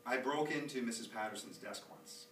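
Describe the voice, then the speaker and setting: A man's voice: medium pitch, normal speed, fairly bright tone, natural voice. A man performing a theatrical monologue as an anxious, chatty, nostalgic young dad, rambling expressively in a live reading. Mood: fond, nostalgic. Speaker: author performing own monologue. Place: small theatre stage, recorded live from a distance. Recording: roomy, distant, noisy live theatre recording.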